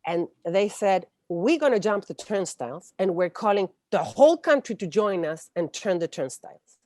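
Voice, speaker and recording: A woman's voice: mid-range voice, expressive, normal speed, dark tone, no room sound, clean studio sound. A woman in her forties with a Chilean accent and Spanish as her first language, explaining things in an interview and often searching for words. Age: 40s. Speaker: interview guest. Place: indoors, room with bookshelves, on a video call. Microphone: wired earphones on a video call.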